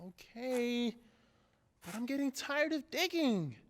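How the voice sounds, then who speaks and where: Woman playing a high, lively skeptical kid, reverberant. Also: takes it slowly. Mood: reluctant. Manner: folksy kid slang, doubtful. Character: skeptical, easygoing ten-year-old neighborhood kid pal. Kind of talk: old-time radio drama acting. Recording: long hall-like reverb.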